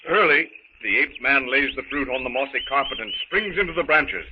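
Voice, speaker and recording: A man's voice: medium pitch, lively intonation, a little fast, natural voice. A man narrating a jungle adventure serial in an earnest, dramatic 1930s radio style on a muffled, hissy recording. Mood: earnest, suspenseful.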